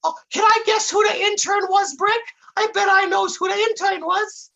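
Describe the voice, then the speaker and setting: A woman voicing a childish, dim-witted fat young male comedy sidekick in a squeaky high voice with old-time slang and bad grammar. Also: moderate pace, gravelly, fairly bright tone, exaggerated voice, rough recording. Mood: eager, excited.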